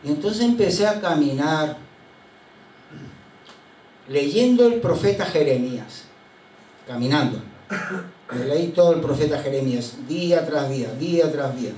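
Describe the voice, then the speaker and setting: Older pastor with a high voice, storytelling from the pulpit with faint noise behind him. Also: male voice, lively intonation, a little mellow. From Chile. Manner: unhurried anecdotal storytelling with frequent hesitations. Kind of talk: sermon. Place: church sanctuary. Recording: roomy church sound from a distant mic.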